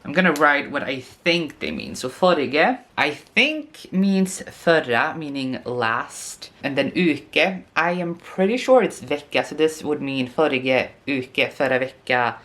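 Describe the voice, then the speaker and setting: A man's voice: on the high side, very animated, fairly bright tone. A young man with a Swedish accent, a language teacher talking casually to camera and sounding out foreign words as he thinks aloud. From Sweden. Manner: slow and musing, sounds out foreign words tentatively. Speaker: language teacher and video host.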